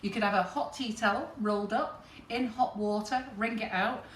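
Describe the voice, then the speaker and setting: A chatty woman rambling and joking as she demonstrates baking. Her voice rings around an echoey kitchen, picked up from a little way off the mic with steady background noise. She talks at an ordinary middle pitch. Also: normal speed, distant mic. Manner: chatty, rambling, jokey, laughs often.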